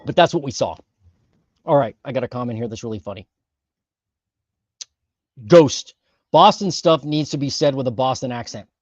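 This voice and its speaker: A man hosting a casual livestream, talking through housing data with lots of fillers and quick self-corrections. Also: mid-range voice, no room sound, muffled recording. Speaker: host of a housing market livestream.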